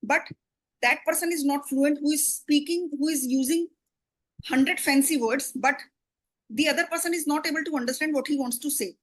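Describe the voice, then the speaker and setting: A woman from Delhi with an Indian English accent and Hindi as her first language, explaining her views in a measured, thoughtful way. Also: high voice, low-quality recording. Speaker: interviewee. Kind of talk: casual English practice conversation.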